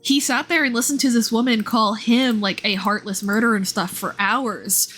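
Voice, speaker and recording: A woman's voice: fairly high pitch, fairly even intonation, normal speed, fairly bright tone. A woman chatting casually as a livestreamer, commenting on a game in an informal, talkative way and often circling back to rephrase. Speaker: streamer and VTuber model creator. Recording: game music playing behind the voice. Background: video game music.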